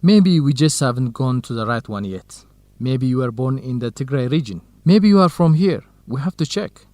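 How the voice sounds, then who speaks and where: A male actor plays a gentle young academic, a loyal and supportive friend. His voice is mid-pitched and recorded dry. The delivery is measured, careful radio drama dialogue with clear diction. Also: expressive, dry recording, lightly acted. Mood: encouraging.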